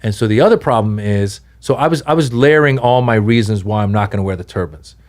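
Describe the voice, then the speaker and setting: Middle-aged man, casual podcast storyteller, animated delivery with sudden high jumps. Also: quick pace. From Iran. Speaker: guest comedian.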